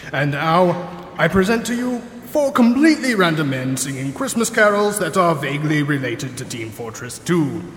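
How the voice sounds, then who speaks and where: A man's voice: fairly high pitch, big pitch swings, moderate pace, background noise. Mock-solemn man announcer in a reverberant hall. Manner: formal, tongue-in-cheek. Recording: echoey hall reverb, music behind.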